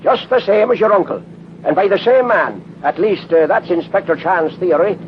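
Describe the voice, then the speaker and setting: A blunt, helpful police sergeant played by a male actor in a 1930s radio mystery. He talks plainly and colloquially in a high-pitched male voice. The recording is dull with some noise behind it. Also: normal speed, lightly acted. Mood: matter-of-fact. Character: plain-spoken, helpful American police sergeant of Irish name.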